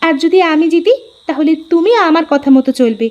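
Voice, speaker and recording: A woman's voice: sits high, lively intonation, brisk, darkish tone. A woman voicing a dutiful, proud young village daughter-in-law in a cartoon story, on a dull recording. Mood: indignant. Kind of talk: Bengali cartoon dialogue.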